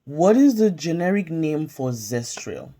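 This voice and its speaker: Casting: calm woman study tutor, slow deliberate delivery, mellow tone, home phone recording. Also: sits low. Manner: calm, measured, question-and-pause drilling. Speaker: pharmacy tech study tutor. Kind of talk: slow flashcard-style quiz review. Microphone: phone camera filming whiteboard.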